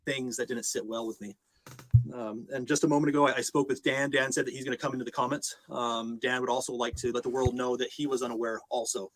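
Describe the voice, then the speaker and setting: A man's voice: mid-range voice, flat delivery, fast, low-quality recording. Hesitant, polite man on a live stream. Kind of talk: casual, unscripted live address to viewers.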